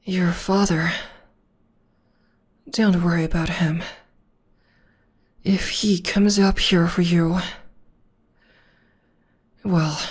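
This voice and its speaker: A woman voicing a young witch girlfriend who is gentle but fiercely protective in an ASMR audio roleplay. She keeps her low voice soft and breathy, often slipping into a whisper right against the mic. She talks slowly and evenly, with little rise and fall. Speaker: voice actor. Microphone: close-up ASMR microphone.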